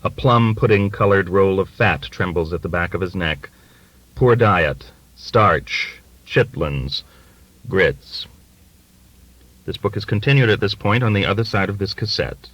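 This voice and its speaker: A man reading literary fiction as an audiobook narrator, with an expressive, deliberate, dramatic delivery. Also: low-pitched, no echo, muffled recording.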